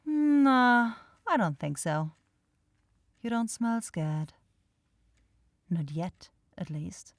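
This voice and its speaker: Woman as a slow-talking, teasing vampire loner girl. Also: medium pitch, big pitch swings, no echo, heavily acted. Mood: curious, playful. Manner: dry, teasing, slow and deliberate. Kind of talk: ASMR roleplay addressed to the listener.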